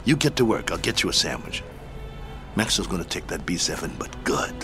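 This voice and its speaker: Low-voiced, eager fight manager down on his luck, music behind. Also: animated, brisk. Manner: eager, pleading. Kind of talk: 1960s-style radio drama acting.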